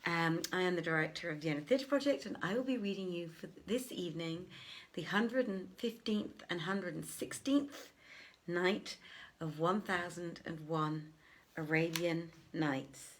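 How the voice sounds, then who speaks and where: A woman's voice: lowish voice, unhurried, a little hiss or hum. A woman hosting a live storytelling stream from home, chatty and affectionate, with theatrical touches in her greetings. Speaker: host and storyteller, theatre director. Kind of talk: informal welcome before a live bedtime-style reading. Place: at home, live to camera on a tripod.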